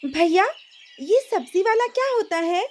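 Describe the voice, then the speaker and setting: A woman's voice: very high voice, animated, measured pace, darkish tone, pitched above the performer's own voice. A woman voicing a curious, innocent seven-year-old princess with a childlike, pleading manner in a cartoon story. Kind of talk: cartoon moral story acting.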